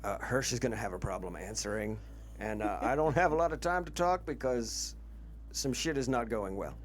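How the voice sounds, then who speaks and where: Wry tabletop player with very lively pitch. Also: normal speed, a little hiss or hum. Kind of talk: casual game chat.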